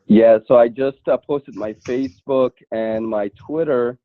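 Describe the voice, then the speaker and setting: A man speaking earnestly as a panelist in an online town hall, halting and often repeating words as he goes. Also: mid-range voice. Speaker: panelist, civil rights attorney and activist. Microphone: internet call. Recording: thin, phone-like online call audio.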